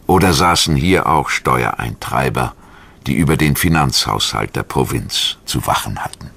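A man reading scripted documentary narration in a measured, formal manner, as a studio voice-over. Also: a bass voice, expressive, gravelly, a bit bright, breathy edge. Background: music.

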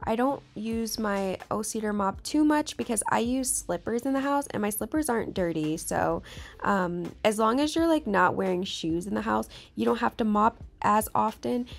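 A woman's voice: medium pitch, normal speed, gravelly. A young American woman giving casual voice-over tips as a vlogger, chatting informally to viewers. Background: background music.

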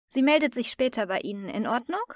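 A woman playing a brisk, efficient office receptionist in a scripted learner dialogue. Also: fairly high pitch, animated, no echo, clean studio sound, lightly acted. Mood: businesslike.

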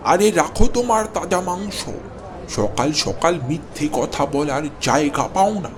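A man voicing an indignant, sharp-tongued market customer in a cartoon, incredulous and scornful. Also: fairly high pitch, animated, moderate pace, noisy background, natural voice. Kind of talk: Bengali cartoon voice acting.

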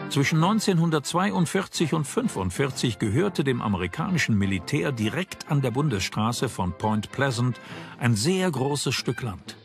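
A man reading scripted narration for a documentary as its narrator. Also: mid-range voice. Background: background music.

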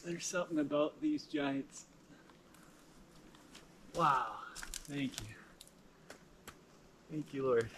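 A man's voice: fairly high pitch, lively intonation, slow, long pauses, a bit bright. A man talking casually and with awe in short exclamatory bursts, as an outdoor vlogger on a hike. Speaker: vlogger and backpacker. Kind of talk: casual outdoor vlog talk. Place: outdoors in a forest. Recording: clear outdoor background noise, camera mic.

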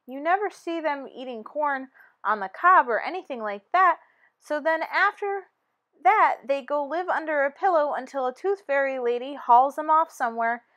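A woman's voice: high voice, fairly bright tone. A woman voicing a smug, bratty young girl tooth who recites a school report in a storybook.

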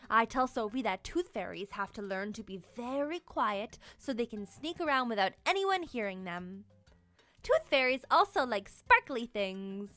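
A young woman reading a children's picture book aloud in an expressive, playful way, as a storyteller for small kids. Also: a little high, dry recording. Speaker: storyteller and children's channel host. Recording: music behind the voice. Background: music.

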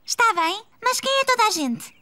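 A woman's voice: very high voice, very animated, moderate pace, bright, forceful tone, heavily acted. A woman voicing a sweet young girl in a children's cartoon, with a European Portuguese accent. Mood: cheerful.

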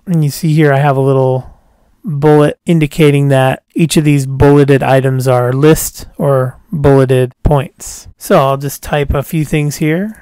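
A man walking through a software tutorial step by step as a screencast voice-over, calm and explanatory, dropping a casual "cool" between steps. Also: mid-range voice, measured pace, clear, resonant tone, no echo.

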